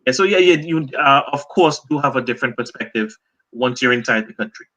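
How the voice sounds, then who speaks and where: Bright-voiced Trinidadian man telling stories. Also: medium pitch, animated, brisk. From Trinidad and Tobago. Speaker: guest, journalist and author. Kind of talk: relaxed interview answers.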